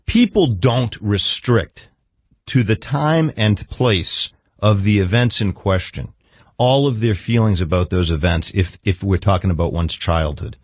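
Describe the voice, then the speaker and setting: A man's voice: on the low side, lively intonation, a little slow, no echo. A man speaking as a therapist-interviewer in a probing session, insistently pressing his point and cutting in.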